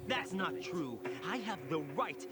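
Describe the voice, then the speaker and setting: Young game hero soldier, higher male voice, defiant, loud music behind. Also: sing-song, measured pace. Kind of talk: video game cutscene acting.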